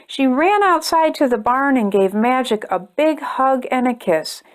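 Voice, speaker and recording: A woman reading a children's picture book aloud with expressive, deliberate storytelling and emphatic phrases. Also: high-pitched. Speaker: storytime reader and host.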